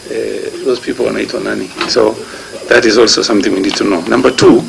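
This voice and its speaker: A man with a Kenyan accent, a senator questioning witnesses at a committee hearing in a haltingly paced list of numbered points. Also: on the low side, fairly even intonation, gravelly, background noise. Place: parliament committee room.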